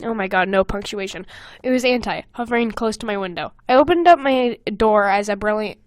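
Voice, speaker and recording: A young woman hosting a podcast in casual comedic chat, reading aloud and commenting with animated, sweary exasperation. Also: medium pitch, brisk, no echo. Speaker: podcast host.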